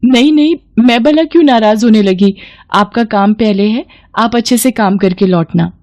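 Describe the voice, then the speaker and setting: A woman voicing a meek, anxious young daughter-in-law in an animated moral story, timid and earnest. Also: a little high, moderate pace, close to an everyday voice. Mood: reassuring.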